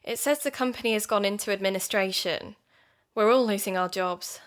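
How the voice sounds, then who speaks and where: A woman's voice: medium pitch, fairly quick, close to an everyday voice. A woman playing an anxious but determined young podcaster in an audio drama. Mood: distressed.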